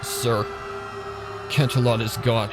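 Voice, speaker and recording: A man's voice: medium pitch, flat delivery, takes it slowly, heavy background noise, lightly acted. A man voicing a male pony police officer in an audio fan drama, shaken but dutiful, reporting urgently. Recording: loud music bed over voice.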